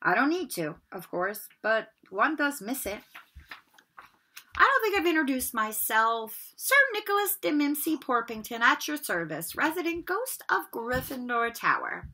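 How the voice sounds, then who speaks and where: A woman's voice: sits high, big pitch swings, measured pace, sharp tone, slightly rough, voice pushed up, heavily acted. A woman voicing a pompous old male ghost who speaks formally and gets easily miffed. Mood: wistful, then stiff. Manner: formal, fussy and stiff.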